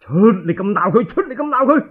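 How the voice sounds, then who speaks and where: Jeering male war general, played by a man storyteller, Cantonese, high, belligerent, shouted taunts, radio drama. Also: very animated, fairly quick, slightly rough, phone-quality sound, exaggerated voice.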